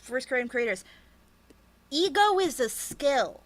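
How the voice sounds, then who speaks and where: A woman's voice: high-pitched, big pitch swings, slow pace. A woman in a candid, casual interview, talking in a blunt, emphatic and often sweary way. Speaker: interviewed writer and podcast creator.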